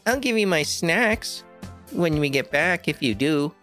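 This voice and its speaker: A woman's voice: deep voice, animated, unhurried, a bit bright, soft background music. A woman playing a cocky young boy, an older brother, in an audio drama.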